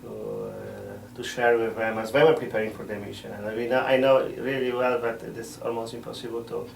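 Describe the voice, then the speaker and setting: A middle-aged man, a missionary priest answering interview questions in a measured way, often pausing to find words. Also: mid-range voice, level tone, vocal fry, a little hiss or hum. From Poland. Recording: roomy sound from a distant mic.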